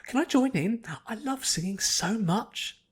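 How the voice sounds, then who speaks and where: A man voicing a bubbly, hyperactive young female cartoon pony, gushing and rambling excitedly. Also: very high voice, unhurried, breathy edge, pitched above the performer's own voice, exaggerated voice. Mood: excited, eager. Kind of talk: fan fiction audiobook character voice.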